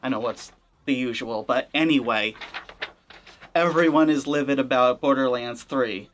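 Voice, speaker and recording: A man hosts a gaming commentary channel, speaking at an ordinary middle pitch. He talks in a loose, conversational way, wry and a little hedging as he works through his thoughts. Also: moderate pace, fairly bright tone, slight background noise. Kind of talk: casual commentary.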